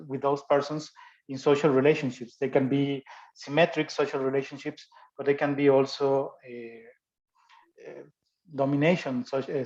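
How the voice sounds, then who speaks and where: Halting non-native man giving a talk. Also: medium pitch, darkish tone, dull audio. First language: not English. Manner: halting, many restarts and fillers. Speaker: guest speaker. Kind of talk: academic talk and Q&A.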